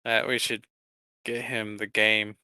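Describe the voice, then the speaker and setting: Bright, clear-voiced male podcast co-host. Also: on the low side, level tone, takes it slowly, no room sound. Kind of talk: casual banter.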